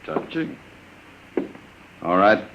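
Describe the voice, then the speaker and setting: An actor playing a suave, sardonic gangster who threatens coolly, in 1950s radio drama style. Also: male voice, drawn-out words. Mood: menacing. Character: smooth menacing male gangster, suave and cold. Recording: dull old radio broadcast with faint hiss.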